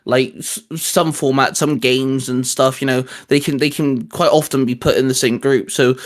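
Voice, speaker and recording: A man's voice: medium pitch, steady tone, moderate pace. A man co-hosting a casual, geeky discussion in a conversational way. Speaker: co-host.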